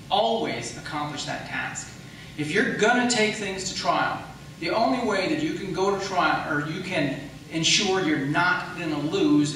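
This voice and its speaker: Strong room echo and faint noise surround an American man in a campaign debate. He explains firmly in a bright, slightly raised voice, repeating key points for emphasis. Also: on the high side. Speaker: county attorney seeking re-election. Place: echoey hall at a public debate. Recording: very echoey room, distant mic, faint noise.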